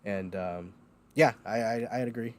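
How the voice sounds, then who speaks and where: A man's voice: fairly low pitch, measured pace, a little mellow. A man hosting a casual livestream chat, rambling with lots of ums, feeling a bit loopy and foggy. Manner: rambling, many ums and uhs, laughs at himself. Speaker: host.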